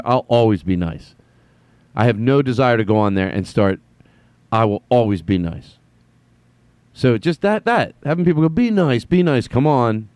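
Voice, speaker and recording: A man's voice: mid-range voice, no echo. A man hosting a solo comedy podcast, rambling and correcting himself as he jumps between thoughts. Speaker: podcast host. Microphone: headphones on, studio mic.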